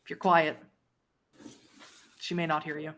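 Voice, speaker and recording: A woman's voice: low-pitched, little pitch movement, a little slow, fairly bright tone. A woman voicing a desperate, unkempt vampire runaway who speaks warily and insistently in a tabletop roleplay game. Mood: conspiratorial.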